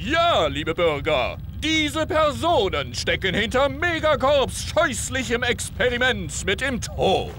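An actor playing a vain, pompous washed-up superhero in a game, with theatrical announcer delivery. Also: male voice, very high-pitched, big pitch swings, slow pace, few pauses, pushed and bright, a little hiss or hum, heavily acted. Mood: grandiose. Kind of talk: animated game cutscene acting.

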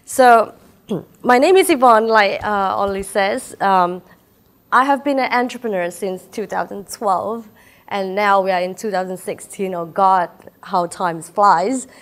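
A woman's voice: mid-range voice, measured pace. A woman in her thirties from Malaysia, Chinese her first language, with a Malaysian accent, giving a chatty, personal keynote talk to an audience while feverish. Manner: chatty, personal, laughs often, frequent "uh" fillers. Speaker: keynote speaker. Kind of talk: inspirational talk. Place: lecture room with audience.

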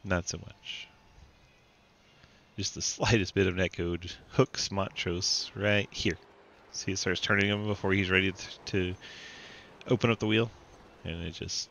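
A man's voice: deep voice, unhurried, creaky, pauses often, bright, forceful tone, a little hiss or hum. A man calling a sim race with playful banter, stuffy with a cold and laughing often. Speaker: sim racing commentator. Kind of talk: live race commentary and banter.

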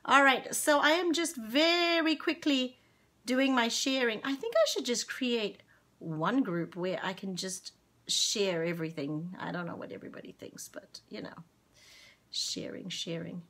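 A woman in her forties, from Zimbabwe, hosting a children's singalong live from home on a webcam, chatting slowly and cheerfully and greeting viewers by name. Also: a little high, animated, pushed and bright. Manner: slow, cheerful, affectionate, talks to viewers by name. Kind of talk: casual live-stream chat and singing. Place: home room with plants and curtains. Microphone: webcam at desk distance.

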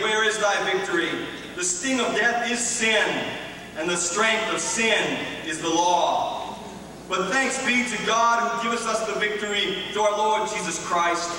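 High-pitched man proclaiming in an echoing hall. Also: pushed and bright. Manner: solemn, declamatory. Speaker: host and scripture reader at a church concert. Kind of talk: formal public introduction and scripture reading. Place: large auditorium on stage, indoors. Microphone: microphone on a boom stand. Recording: echoey hall, distant PA sound, faint noise.

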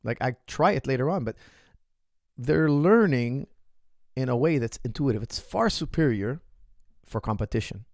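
A man in his thirties, a martial arts coach, answering a listener's question on a podcast in an animated, emphatic way, speaking into a studio mic on a boom arm in a small room. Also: moderate pace, creaky, long pauses, dry recording.

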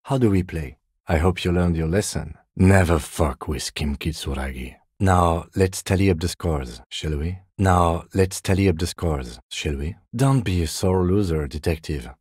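An actor playing a calm, methodical middle-aged police lieutenant in a video game, measured, understated and dryly wry, with a husky voice. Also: male voice, low-pitched, no echo, studio quality. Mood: composed, wry. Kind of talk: video game character dialogue.

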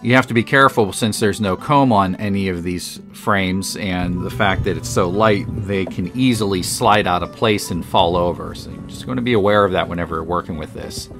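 A man narrating his beekeeping work in a relaxed, matter-of-fact, explanatory voice-over. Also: sits low, moderate pace, gravelly, hardly any pauses. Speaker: beekeeper and channel host. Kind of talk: voice-over narration of a hive install. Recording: voice over background music.

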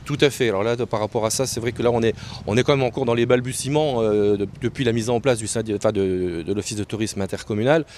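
An older man, a local official answering interview questions in a halting way, often repeating words. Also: lowish voice, fairly even intonation, a little mellow. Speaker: local mayor and tourism official. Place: outdoors on a terrace above a town street. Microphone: handheld interview microphone held close. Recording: clear outdoor street noise.

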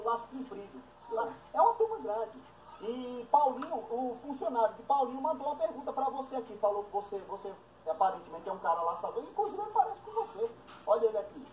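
A man interviewing and teasing a comic old character outdoors at a country house, reading him questions from fans. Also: extremely high pitch. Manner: teasing, prodding. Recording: roomy, distant from the mic, light noise.

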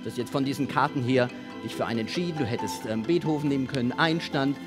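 A man in his forties presenting a live magic act on a theatre stage, with playful, showmanlike patter and deliberate pauses. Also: mid-range voice. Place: large theatre stage with seated audience. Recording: music playing behind the voice. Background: music.

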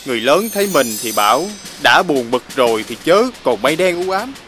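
A woman voicing a lonely, childlike little dark cloud in a children's cartoon, with a southern Vietnamese accent. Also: rumbling low, big pitch swings, brisk. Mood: glum, hurt. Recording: music bed behind the voice.

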